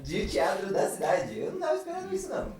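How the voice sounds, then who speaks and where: A man's voice: sits high, big pitch swings, fast, crowd noise. A man from a theatre group chatting informally and with slang on a podcast. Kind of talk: casual podcast group chat. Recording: very echoey room, distant mic, other voices.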